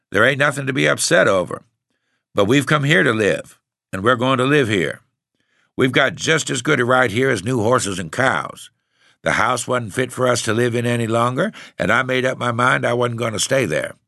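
A man's voice: medium pitch, vocal fry, no room sound, clean studio sound, voice pushed up. A man reading aloud voicing a determined older farm wife who speaks plainly and steadily. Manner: steady, plain-spoken rural speech.

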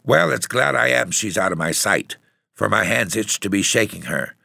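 A man voicing an excitable, scolding mother in an audiobook story, exclaiming. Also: on the low side, moderate pace, gravelly, no echo, studio quality, voice pushed up, heavily acted. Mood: irritated.

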